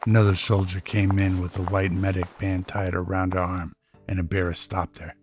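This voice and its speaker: A man narrating a sci-fi radio drama as a measured storyteller, in scripted prose. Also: low voice, flat delivery, over music.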